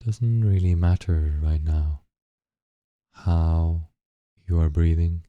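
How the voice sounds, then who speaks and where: A man leading a guided meditation, giving gentle, unhurried instructions with long pauses between short phrases. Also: deep voice, fairly even intonation, no echo, lo-fi sound.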